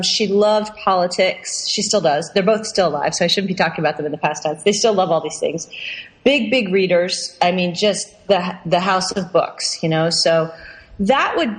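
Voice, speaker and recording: A woman from Pennsylvania speaking as a podcast guest in casual chat, chatty and often restarting her sentences with easy laughs. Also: lowish voice. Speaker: podcast guest, workshop founder. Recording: long reverb on voice.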